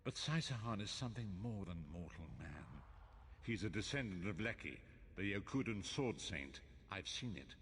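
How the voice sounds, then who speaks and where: A very low, grave voice of an aged fantasy game sage. He speaks formally and with measured weight, as a wise mentor would, in a long reverb with some noise behind. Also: very animated, fairly bright tone. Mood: grave, solemn. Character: solemn older male prophet mentor, grave and wise. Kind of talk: fantasy video game voice acting.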